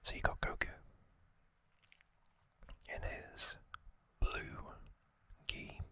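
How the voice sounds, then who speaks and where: A man speaks entirely in a breathy whisper with a very bright, sibilant edge. He takes his time, setting down short, hushed phrases at a slow, deliberate pace. The delivery is that of an ASMR host narrating trivia.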